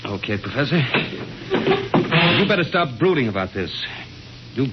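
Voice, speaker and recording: An actor playing a plain-spoken, excitable friend and narrator in an old radio drama, folksy and slangy. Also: male voice, mid-range voice, a little slow, very noisy. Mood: uneasy. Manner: folksy, slangy, wide-eyed. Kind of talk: 1950s radio drama acting. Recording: muffled old radio recording with music.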